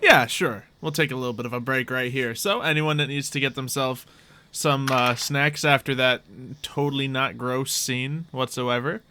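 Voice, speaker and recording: A man's voice: mid-range voice, moderate pace, pushed and bright, slight background noise. A man chatting casually and jokingly as game master to his tabletop players.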